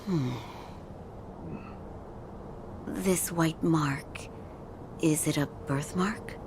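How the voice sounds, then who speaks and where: Game cutscene role: calm female physician in noble service, deep for a woman, courteous and measured, audible background noise. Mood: quietly curious. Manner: formal, measured, reserved. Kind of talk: video game cutscene acting.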